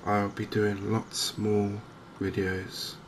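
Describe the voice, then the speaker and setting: A man speaks softly in an ASMR gaming style, gentle and unhurried. His voice is on the low side and very even, with little rise or fall. Clear ambient noise runs behind him as he pauses and chats to the viewer. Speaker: gaming video host. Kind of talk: softly spoken ASMR game commentary.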